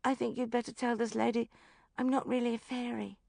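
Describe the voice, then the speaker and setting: A woman's voice: fairly high pitch, little pitch movement, brisk, vocal fry, darkish tone, dry recording, studio quality, pitched above the performer's own voice. A woman voicing a sulky, bluntly honest little girl as an audiobook character.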